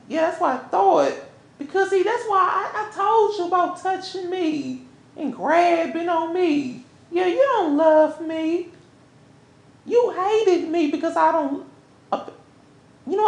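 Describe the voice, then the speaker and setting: High-pitched, scorned, furious woman acted out. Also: gravelly, full character voice. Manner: stammering, repetitive, confrontational. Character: angry, heartbroken woman scorning her cheating lover. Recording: echoey, roomy sound.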